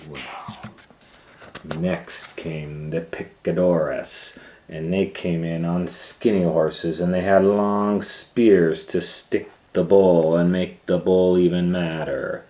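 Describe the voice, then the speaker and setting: A man reading a children's storybook aloud as a host, in a dramatic, teacherly manner, pausing to comment and ask questions. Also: sits low, a little mellow. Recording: echoey room with faint noise.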